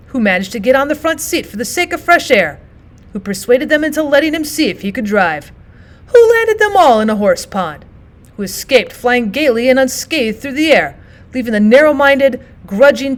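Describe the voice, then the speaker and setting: A woman voicing a reckless, self-admiring male toad in a storybook, bragging in grandiose exclamations. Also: on the high side.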